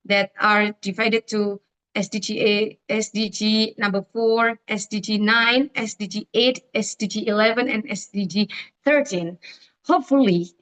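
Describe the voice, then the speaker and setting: A woman's voice: mid-range voice, fairly bright tone, rough recording. A young woman with an Indonesian accent, speaking English as a second language, presenting an online orientation with many fillers and restarts. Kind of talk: presenting on a video call. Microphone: video call.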